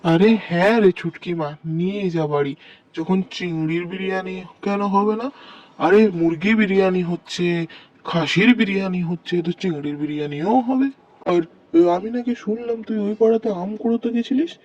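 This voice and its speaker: A man's voice: high voice, moderate pace, darkish tone, dry recording, lo-fi sound. A man voicing a kindly, generous village uncle in a cartoon, hearty and coaxing. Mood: reassuring.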